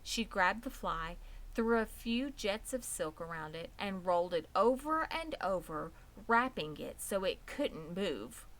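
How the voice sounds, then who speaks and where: A woman's voice: medium pitch, expressive, unhurried, a bit bright. A woman narrating a children's book as a scripted read-aloud. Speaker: storybook reader.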